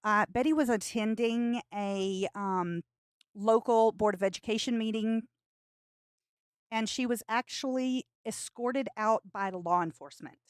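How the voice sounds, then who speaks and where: A woman's voice: fairly high pitch, a little slow, smooth, no echo, clean studio sound. A woman hosting a live stream, giving casual commentary on clips.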